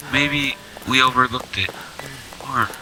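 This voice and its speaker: A soft-hearted, thoughtful teenage boy, played by a man in an amateur radio drama. His mid-pitched voice is earnest and reflective. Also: slow pace, background noise, lightly acted. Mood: reflective, worried. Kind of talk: amateur anime-style radio drama acting.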